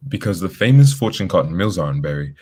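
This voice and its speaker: Radio mystery lead: composed, matter-of-fact detective, played by a man, deep voice, fast clipped delivery. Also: very animated, darkish tone, breathy edge, full character voice. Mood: shrewd, probing. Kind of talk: radio drama acting.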